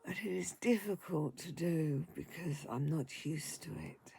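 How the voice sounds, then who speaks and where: An older woman speaks in a tired, croaky, husky voice with a gravelly edge. She talks very slowly and haltingly, pausing to take deep breaths. A steady hiss of noise sits under her casual home vlog. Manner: slow and hesitant, taking deep breaths before speaking. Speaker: vlogger.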